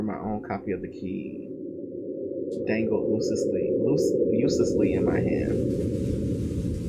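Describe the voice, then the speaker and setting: Gravelly man reading a game aloud. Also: on the low side. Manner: profane joking asides, slangy. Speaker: let's play gamer. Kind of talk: reading a visual novel aloud with commentary. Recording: loud background noise.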